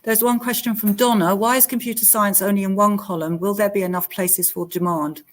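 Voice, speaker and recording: Brief: school staff woman, mid-pitched, explanatory tone, reads parents' questions. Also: steady tone, fairly quick. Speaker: school staff member hosting the Q&A. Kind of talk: presenting at a school options evening.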